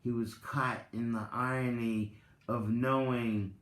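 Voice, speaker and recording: A man giving a dramatic reading with spoken commentary, deliberate and halting, in short phrases. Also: medium pitch, flat delivery, a bit bright. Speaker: reader, content creator. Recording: roomy, echoing voice recording.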